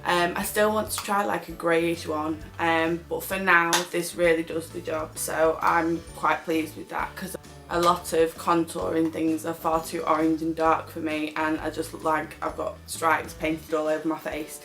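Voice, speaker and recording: A woman's voice: low-pitched, little pitch movement, measured pace, a bit bright, faint music behind. A British woman chatting casually as a beauty vlogger reviewing products.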